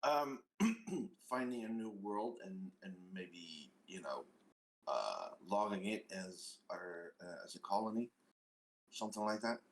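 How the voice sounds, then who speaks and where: A man with a fairly low, creaky voice talks slowly and haltingly in casual conversation. His pitch moves around a good deal as he hesitates. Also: very animated, low-quality recording. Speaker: player in a tabletop game group. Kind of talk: casual chat.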